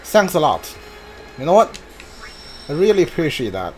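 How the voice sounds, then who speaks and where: A man's voice: fairly high pitch, big pitch swings, normal speed, a little mellow. A man giving casual video game commentary, musing aloud in short reactions as he plays. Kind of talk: casual let's play commentary. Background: game music.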